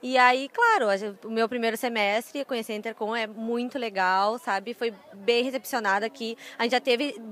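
High-voiced young woman. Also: moderate pace, few pauses, fairly bright tone. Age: young adult. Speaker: university student. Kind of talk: casual interview. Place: outdoors on campus. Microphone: handheld reporter mic.

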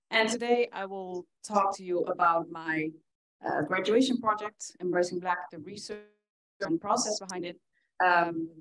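A young woman from the Netherlands giving a conference talk in an earnest way, often pausing with fillers. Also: lowish voice, a bit bright, low-quality recording. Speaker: conference speaker. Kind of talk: presentation talk. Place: conference hall with audience.

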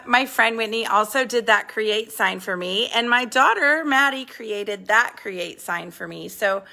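Bright, chatty woman giving a home tour. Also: mid-range voice, gravelly. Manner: chatty and enthusiastic, with fillers. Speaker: vintage shop owner. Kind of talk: casual home tour on a live stream. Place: indoor apartment living room. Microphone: handheld phone filming the room.

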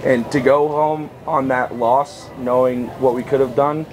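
A man in his thirties, a head coach, talking through a postgame press conference in an upbeat, fast-flowing way with restarts. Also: mid-range voice, fairly even intonation, noisy background. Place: outdoor tent at a poolside press table.

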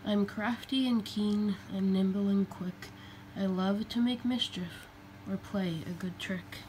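A woman's voice: medium pitch, measured pace, a little mellow, lightly acted. Woman reading as a sly little leprechaun trickster, noisy recording. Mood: gleeful, taunting. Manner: playful, sing-song rhyming taunts. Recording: clearly noisy home recording.

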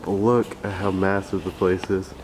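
A young man casually narrating a handheld vlog while exploring an abandoned factory, relaxed and slangy, joking with his viewers. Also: sits low, normal speed, noisy background. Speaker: urban explorer vlogger. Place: abandoned factory, large indoor space. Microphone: handheld camera mic.

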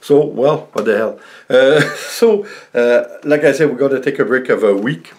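An older man, around sixty, a native French speaker with a French accent, chatting casually to camera in a halting, rambling way as a vlogger in his home studio. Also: medium pitch, expressive, a little mellow. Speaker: vlogger and painter. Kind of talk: casual vlog chat to camera. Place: small home art studio indoors.

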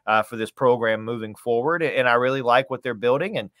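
A man's voice: medium pitch, a little fast, fairly bright tone, dry recording, studio quality. A man in his thirties talking conversationally as a sports interview guest, folksy and emphatic, repeating phrases for stress. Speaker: sports play-by-play announcer, podcast guest. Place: small home room, video call.

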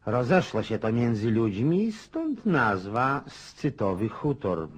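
An actor playing a garrulous, restless old Russian country landowner in a radio drama, rambling in a folksy way. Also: male voice, medium pitch, huge pitch swings, slow pace, husky, heavily acted. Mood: baffled, hurt. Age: older. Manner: rambling, folksy, muses aloud.

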